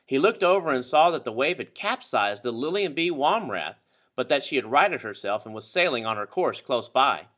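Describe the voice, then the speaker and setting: A man reading a children's story aloud with expressive, dramatic narration. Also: medium pitch, normal speed. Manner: dramatic, animated. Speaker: storybook reader.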